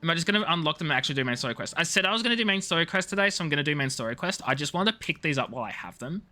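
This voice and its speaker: Very bright-voiced man, lively streamer. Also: on the high side, very fast. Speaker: video game streamer. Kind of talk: casual live-stream chat with viewers.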